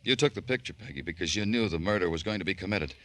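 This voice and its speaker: An actor playing a world-weary, relentless police detective in a radio noir drama, clipped and deadpan. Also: male voice, low-pitched, quick pace. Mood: accusing, grim. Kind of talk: old-time radio noir narration and dialogue.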